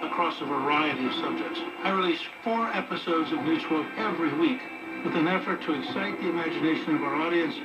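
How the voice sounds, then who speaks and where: A man's voice: fairly high pitch, brisk. A man who hosts a podcast, reading a scripted promo heard through an old radio. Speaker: podcast host. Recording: muffled roomy playback through old radio. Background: loud music.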